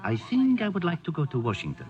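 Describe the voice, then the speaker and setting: Suave Argentine diplomat, lively higher voice. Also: male voice. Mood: wry, pointed. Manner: formal, measured, polite. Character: suave, courteous Argentine diplomat, gently ironic. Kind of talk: 1940s radio drama acting. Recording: muffled old radio broadcast, faint music.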